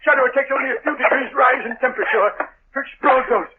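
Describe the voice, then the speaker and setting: An actor playing a frantic, pleading scientist in an old radio drama. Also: male voice, very high-pitched, quick pace. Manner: breathless, pleading urgency. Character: frantic male scientist, nervous and fast-talking. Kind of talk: 1930s radio acting. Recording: tinny noisy old radio broadcast.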